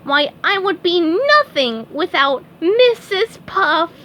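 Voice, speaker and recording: A very high, excitable cartoon sea sponge boy, as a woman reads him aloud. He makes grand, pompous speeches and shouts with zeal, his voice bouncing all over the place. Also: slow pace, a little hiss or hum, full character voice. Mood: pompous, zealous. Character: eager excitable young male sea sponge. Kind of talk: storybook read-aloud.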